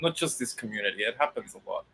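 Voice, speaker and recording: A man from Sydney with a crisp Australian accent, chatting casually as host of a livestream. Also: medium pitch, animated, fast talker, a crowd in the background, poor audio. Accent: Sydney Australian, crisp enunciated. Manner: chatty, self-correcting, enunciates clearly. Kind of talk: casual live chat.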